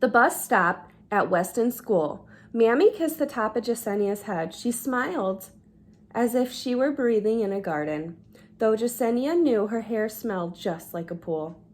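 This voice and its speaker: A woman reads a children's story aloud in a fairly high voice. She takes her time, going very slowly through each phrase. Her delivery is expressive and deliberate, shaped for dramatic storytelling. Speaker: storyteller reading a children's book. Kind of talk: expressive read-aloud narration.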